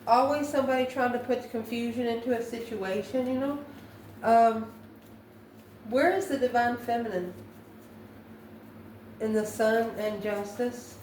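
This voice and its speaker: A woman giving an improvised spiritual tarot reading to viewers, musing in a halting way and posing questions to herself. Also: a little high, steady tone, a little mellow. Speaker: tarot card reader, channel host. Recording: echoey room, distant mic, faint noise.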